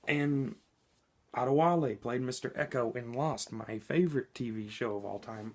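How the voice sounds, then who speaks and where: A man talks through a movie review while sick, his voice hoarse and a little scratchy. He keeps it loose and conversational at a middling pitch, often pausing on an um or correcting himself mid-sentence. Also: a little mellow. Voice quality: slightly scratchy. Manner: chatty and informal, frequent ums and self-corrections. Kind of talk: casual spoken movie review.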